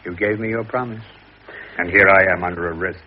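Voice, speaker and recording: An actor playing a defiant, impassioned prisoner priest in a radio drama. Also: male voice, low-pitched, steady tone, a little fast. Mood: wounded, pleading. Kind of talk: 1950s British radio drama acting. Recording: muffled vintage radio recording.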